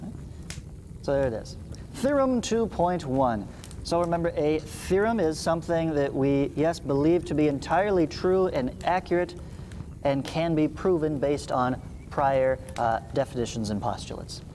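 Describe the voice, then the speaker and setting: A man's voice: a little high, normal speed. A man in his thirties teaching a math lesson, reading aloud and adding informal explanations with little check-ins. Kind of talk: read-along geometry lesson with explanatory asides. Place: small indoor room, seated before a green screen. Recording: clear steady background noise.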